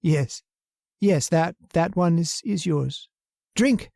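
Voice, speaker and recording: An actor playing a weak, nervous husband with secret murderous intent in a radio horror drama, stammering and hesitant. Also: male voice, a little high, slow pace, vocal fry, a little mellow, no echo, clean studio sound. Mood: nervous, coaxing. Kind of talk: old-time radio horror acting.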